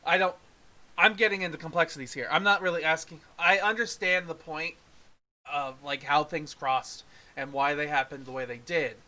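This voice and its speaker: Bright, higher-voiced man chatting casually. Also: fairly even intonation, moderate pace. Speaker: podcast co-host reviewer. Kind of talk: casual film review chat.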